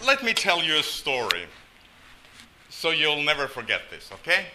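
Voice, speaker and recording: A man's voice: on the high side, wildly swooping pitch, moderate pace, background noise. An older man from Norway preaching a sermon, telling stories with folksy, self-deprecating humor. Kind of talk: sermon with storytelling.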